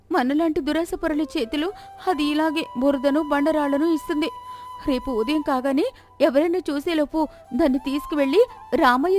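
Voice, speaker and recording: Woman playing village wife, shrill-high pitch, scolding, dramatic lament, folk story, music behind. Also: darkish tone, close to an everyday voice. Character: alarmed, scolding village wife of a greedy man.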